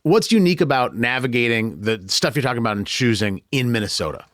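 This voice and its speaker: An American man hosts a conversational podcast interview. His voice sits at an average pitch, recorded dry with no room around it. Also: expressive, fast talker, fairly bright tone, dry recording. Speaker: podcast host.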